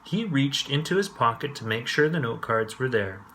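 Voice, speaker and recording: Male storyteller for children's books, expressive, medium pitch, bright tone. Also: normal speed, a little hiss or hum. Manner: expressive read-aloud. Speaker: storyteller, host. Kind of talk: narrating a children's bedtime story.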